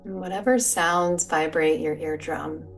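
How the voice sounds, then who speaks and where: A woman leading a guided relaxation as a yoga teacher, speaking in a soothing, gentle, unhurried manner. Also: sits low, steady tone. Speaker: yoga nidra meditation guide. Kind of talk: guided meditation, soft spoken instruction. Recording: distant mic, music behind. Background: soft music or sound bowls.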